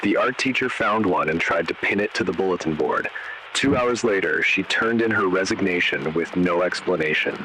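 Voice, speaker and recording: A man with a very low voice narrates a horror story. He is measured and grave, letting short, ominous phrases fall one by one. Noticeable static runs through the recording. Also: sharp tone, rough recording. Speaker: narrator of an analog horror video. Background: static noise.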